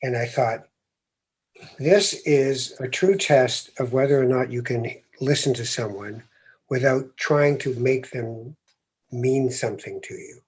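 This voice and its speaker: A man hosting a podcast interview, speaking reflectively and growing animated as he makes his points, with easy laughs. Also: mid-range voice, unhurried, husky. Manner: thoughtful, animated when making a point, laughs easily. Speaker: podcast host.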